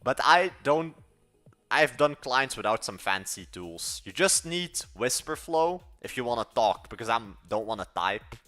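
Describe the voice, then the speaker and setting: Bright-voiced rambling young man. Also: medium pitch, sing-song, measured pace, faint music behind. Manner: rambling, trails off mid-thought. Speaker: livestreaming coder. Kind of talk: casual live-stream chat.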